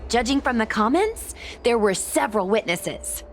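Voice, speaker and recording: A woman's voice: on the high side, very animated, moderate pace, slight background noise. A woman playing a sensible, earnest young investigator in a video game. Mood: serious. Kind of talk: video game dialogue acting.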